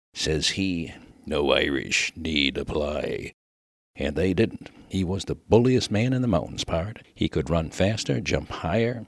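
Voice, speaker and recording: A man voicing a rough, good-natured Old West miner with a rustic American accent and a slangy, emphatic way of talking in a humorous story. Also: low voice, no echo, clean studio sound. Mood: fervent, admiring. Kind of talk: dramatic reading of a humorous story.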